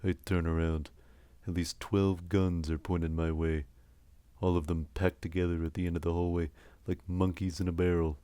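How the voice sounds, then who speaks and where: Guy doing a hardboiled detective narrator, really deep, broody and dry, noir audio drama thing. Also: level tone, moderate pace, warm tone, smooth, dry recording, lightly acted. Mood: wry, grim. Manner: terse, wry, brooding. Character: hard-boiled, world-weary male detective narrating his own nightmare.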